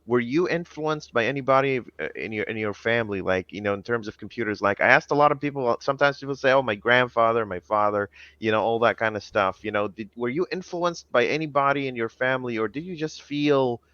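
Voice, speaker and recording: A man's voice: medium pitch, quick pace, few pauses, fairly bright tone, no echo, lo-fi sound. A man hosting a podcast, talking animatedly in a rambling way with many repeated words, on a headset from a home studio. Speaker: podcast host. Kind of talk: casual interview chat.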